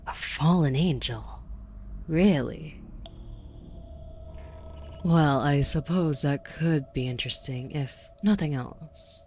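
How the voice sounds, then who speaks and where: A woman's voice: sits low, darkish tone. A woman playing a haughty, flirty, sardonic demon buyer in a scripted audio roleplay, drawling with condescension. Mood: intrigued, haughty. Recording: muffled, with audible background noise.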